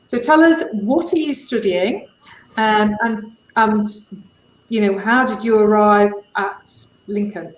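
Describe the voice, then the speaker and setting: A woman's voice: medium pitch, takes it slowly, reverberant, a little hiss or hum, rough recording. A woman hosting a casual interview, asking upbeat questions that often trip over their own wording. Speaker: host.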